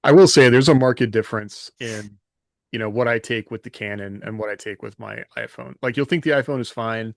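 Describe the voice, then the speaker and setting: A man's voice: mid-range voice, a little fast, gravelly, dry recording. A man chatting casually as a co-host on a podcast.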